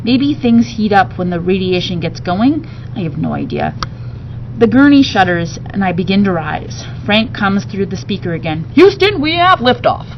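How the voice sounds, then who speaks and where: Female teacher-type storyteller, expressive children's book narration; dull home recording with light background noise. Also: mid-range voice, normal speed. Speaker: teacher reading a book aloud. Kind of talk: expressive read-aloud narration of a children's novel.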